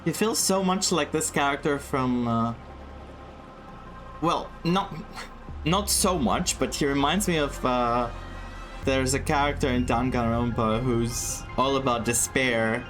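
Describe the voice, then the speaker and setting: A man's voice: mid-range voice, a little slow, fairly bright tone. A man commenting casually on a game playthrough, English not his first language, rambling and searching for words. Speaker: gaming streamer. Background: game music.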